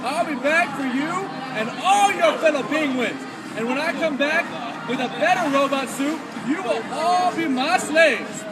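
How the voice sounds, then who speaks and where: Male narrator putting on a cute, very high-pitched evil kitten for a storybook; yelling, loud music behind. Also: measured pace, far from the mic, over a noisy crowd. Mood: defiant threatening. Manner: squeaky, shouting threats.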